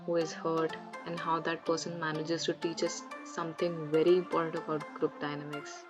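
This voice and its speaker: A woman's voice: low voice, monotone, normal speed. A woman from Delhi, a student in a group project, who calls her noisy group to order and then speaks to the viewer. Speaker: student group member and narrator. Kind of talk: student role-play video. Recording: dull lo-fi audio with faint music.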